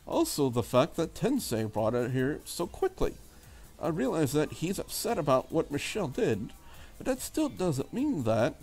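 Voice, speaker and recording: A man's voice: fairly high pitch, huge pitch swings, normal speed, darkish tone, husky, faint music behind, exaggerated voice. A man voicing a preppy, critical young Englishwoman in a visual novel, prim and wordy, with an English accent. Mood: critical, fretful.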